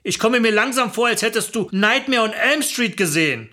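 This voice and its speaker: A woman's voice: fairly high pitch, animated, moderate pace, sharp tone. A woman doing a trembling, panicky husband. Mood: anxious. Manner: trembling, pleading. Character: nervous burly middle-aged husband, man.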